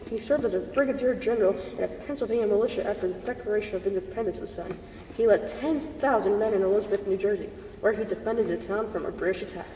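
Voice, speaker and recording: A man's voice: high voice, quick pace, warm tone, lightly acted. A teenage boy playing reasonable, polite historical characters in an amateur puppet show, reciting the script matter-of-factly. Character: reasonable, knowledgeable debater, later a grateful wounded soldier. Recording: echoey room, distant mic, faint noise.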